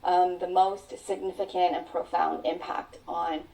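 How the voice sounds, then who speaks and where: A woman whose native tongue is Mandarin shares her story as a podcast guest. Her voice sits low and mellow and sounds set back from the microphone. She speaks earnestly, drawing out her phrases. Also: little pitch movement, vocal fry. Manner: earnest, reflective, drawn-out phrasing. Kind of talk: personal storytelling in an interview. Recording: voice sounds distant from the mic.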